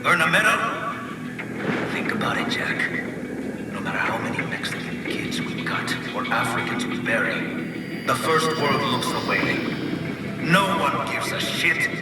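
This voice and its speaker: A cynical mercenary villain from a video game, taunting slowly with a swinging, theatrical pitch. His voice sits in a roomy, reverberant space under loud music. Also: expressive, recorded from a distance. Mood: mocking. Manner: mocking, drawn-out theatrical delivery. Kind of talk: video game cutscene acting.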